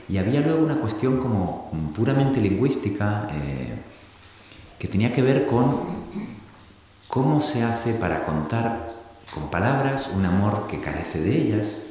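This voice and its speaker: A middle-aged man from Argentina giving a reflective public talk, thoughtful and halting, often restarting his sentences. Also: low-pitched, a little mellow. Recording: echoey hall recording from a distance with faint hiss.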